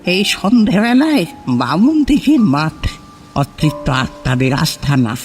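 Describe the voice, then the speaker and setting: A man's voice: fairly high pitch, very animated, measured pace, faint music behind, pitched above the performer's own voice. A man voicing a kindly, anxious old village grandfather in a cartoon story, speaking emphatically. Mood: ominous warning. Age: older. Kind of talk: Bengali cartoon story acting.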